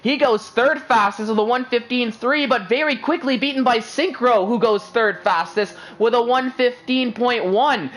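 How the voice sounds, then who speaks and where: A man's voice: very high voice, normal speed, hardly any pauses, fairly bright tone, poor audio. Casting: upbeat male play-by-play voice for sim racing streams, loose and chatty. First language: English. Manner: chatty, filler-heavy, upbeat. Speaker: esports racing commentator. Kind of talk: live race commentary.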